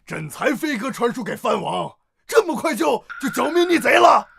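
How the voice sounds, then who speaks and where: An actor playing a scheming, cowardly old emperor in a period drama, pompous, blustering and theatrical. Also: male voice, extremely high pitch, very animated, normal speed, gravelly, fairly bright tone, a little hiss or hum, heavily acted. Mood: smug.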